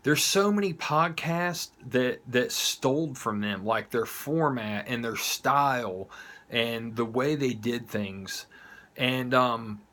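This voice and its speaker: Male online show host, Southern US accent, mid-range pitch, unhurried, informal heartfelt delivery. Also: bright, forceful tone. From United States, not from the South. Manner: informal, blunt, occasional swearing. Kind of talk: casual heartfelt monologue to viewers.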